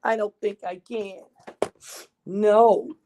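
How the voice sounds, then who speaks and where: A woman's voice: medium pitch, fairly even intonation, drawn-out words, warm tone, a little hiss or hum. A woman voicing a proud, vain male storybook fish in a haughty, exclamatory way. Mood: distressed, refusing.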